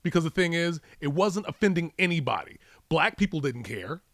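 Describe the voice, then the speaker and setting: Male co-host, fairly high pitch, dry studio-like sound, conversational and argumentative, repeats himself for emphasis. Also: lively intonation, a little fast, a bit bright, dry recording. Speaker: podcast co-host. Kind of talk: casual conversational commentary.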